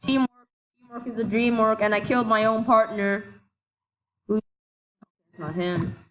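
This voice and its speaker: A woman giving casual gameplay commentary, excitable and quick to shout in frustration, a little sick. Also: fairly high pitch, low-quality recording. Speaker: gaming commentator.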